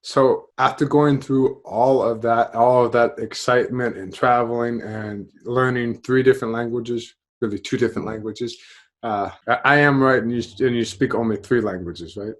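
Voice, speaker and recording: A man's voice: mid-range voice, steady tone, moderate pace. Interviewer, young man, inquisitive, repeats answers back, video call. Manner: curious, restates answers. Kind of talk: casual interview. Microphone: headphones on video call.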